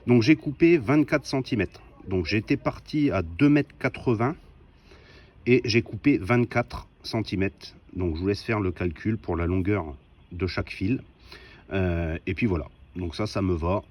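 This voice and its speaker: A man's voice: lowish voice, measured pace, darkish tone. A man giving a casual, down-to-earth hands-on demonstration as the host of a hobby vlog. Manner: informal, down-to-earth, often tagging phrases with "hein". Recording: noisy field recording.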